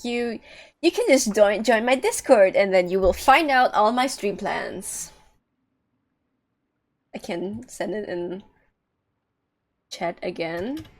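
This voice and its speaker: A woman giving casual live commentary as she streams a game, blunt and sweary. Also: medium pitch.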